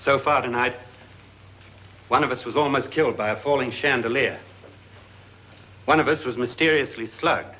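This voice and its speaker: Male actor as articulate, suspicious houseguest, medium-pitched and formal, slightly muffled vintage horror film. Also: moderate pace, long pauses, close to an everyday voice. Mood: grave, foreboding. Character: grave, articulate, suspicious male guest in haunted mansion. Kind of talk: 1950s horror film acting. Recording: muffled 1950s film soundtrack.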